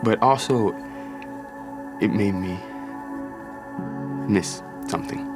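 An actor playing a melancholy, awkward businessman with a hesitant, halting delivery in an intimate audio drama. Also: low-pitched. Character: melancholy, awkward businessman confiding his past. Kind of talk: intimate audio fiction acting. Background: music.

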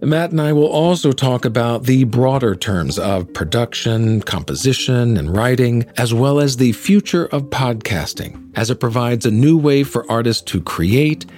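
A man's voice: mid-range voice, animated, normal speed, hardly any pauses, a little mellow, soft background music. A middle-aged man hosting a podcast in a polished, articulate presenting style, moving between scripted narration and interview. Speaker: podcast host, actor and singer.

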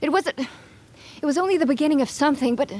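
A woman acting a proud, bitter young underdog warrior in a fantasy audio drama, intense and often hushed. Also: high-pitched, a little fast, close to an everyday voice. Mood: defensive, grieving.

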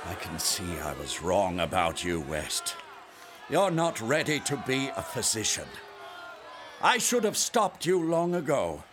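An actor playing a stern, disapproving college dean in a radio drama. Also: male voice, lowish voice, wildly swooping pitch, a little slow, crowd noise, background noise.

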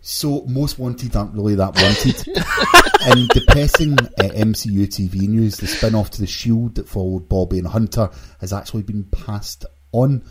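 A man's voice: on the low side, slightly rough. A Glaswegian man hosting a casual pop culture podcast with jokey banter. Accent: Glaswegian Scottish. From Glasgow, Scotland. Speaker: podcast host.

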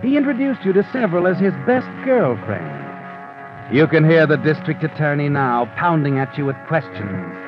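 Sardonic radio mystery narrator, swooping delivery. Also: male voice, on the high side, very animated, normal speed, lo-fi sound. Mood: ominous, needling. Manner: dramatic second-person address, needling rhetorical questions. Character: sardonic, omniscient male narrator taunting the story's guilty heroine. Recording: vintage 1940s radio with music behind.

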